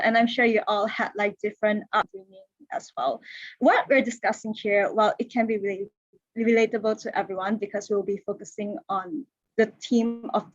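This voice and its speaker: A woman's voice: mid-range voice, no room sound, dull audio. A woman of twenty-one hosting an online panel, chatty, with many fillers and restarts. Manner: chatty, heavy use of "like" and restarts. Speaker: panel moderator. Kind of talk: casual online workshop hosting. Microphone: video call.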